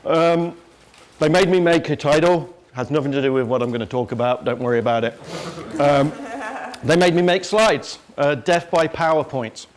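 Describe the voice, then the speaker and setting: A man's voice: a little high, normal speed. A man with an English accent speaking informally to an audience as a guest presenter, with a joking, self-deprecating manner. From England. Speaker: guest speaker from an internet governance organisation. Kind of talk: informal talk with slides. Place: crowded lecture room before an audience.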